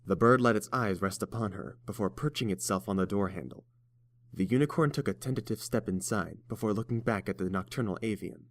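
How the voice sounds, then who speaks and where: A man's voice: on the low side, a little fast, dry recording. A man reading scripted fiction aloud as the narrator of an audiobook.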